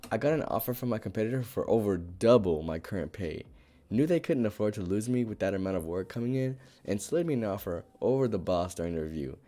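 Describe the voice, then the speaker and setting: Hoarse young man reading reddit live. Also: fairly low pitch, mellow. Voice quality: raspy. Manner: chatty, rambling asides with fillers and laughs. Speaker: reddit reader live streamer. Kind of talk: casual live stream reading.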